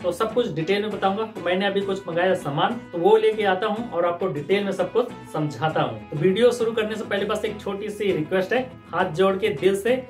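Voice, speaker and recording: A man's voice: high-pitched, brisk, few pauses, soft background music. A man in his thirties from India, chatting casually and with animation as he unboxes groceries for his vlog, using his hands as he talks. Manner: chatty, animated, gesturing while talking. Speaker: vlogger. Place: indoor room, green screen and purple backdrop.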